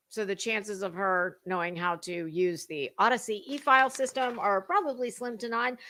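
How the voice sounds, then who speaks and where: Theatrical sassy woman with very lively pitch. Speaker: host of a gossip commentary livestream. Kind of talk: dramatic reading with sarcastic running commentary.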